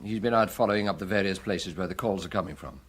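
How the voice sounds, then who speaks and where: A British actor playing a brisk, wry, dogged chief inspector with a husky voice in a radio drama. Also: male voice, low voice, background noise, natural voice. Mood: wry, musing.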